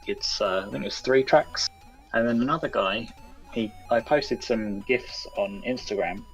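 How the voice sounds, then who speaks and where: A man's voice: medium pitch, moderate pace, faint music behind, rough recording. A man chatting casually as a guest game developer in an interview.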